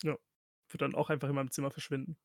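A man's voice: mid-range voice, flat delivery, rapid-fire, no echo, clean studio sound. A man, chatting casually as a player in a tabletop role-playing game.

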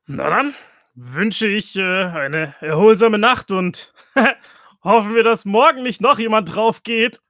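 A man's voice: high-pitched, animated, takes it slowly, bright, forceful tone, dry recording, studio quality. A man, chatting casually as a player in a tabletop role-playing game.

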